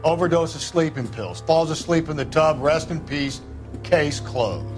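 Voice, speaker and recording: An actor playing a gruff, impatient homicide detective in a video game, curt and dismissive. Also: male voice, a little high, a little slow, faint music behind, lightly acted. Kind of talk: video game cutscene acting.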